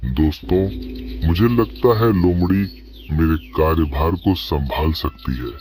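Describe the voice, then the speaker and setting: An aging lion rules his cartoon jungle with a regal, trusting air, acted by a man. The voice is extremely low and weighty. Every phrase comes out slowly and deliberately, over a music bed. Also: darkish tone. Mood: approving, trusting. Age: older. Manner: slow, weighty, regal speech. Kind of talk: cartoon story character acting.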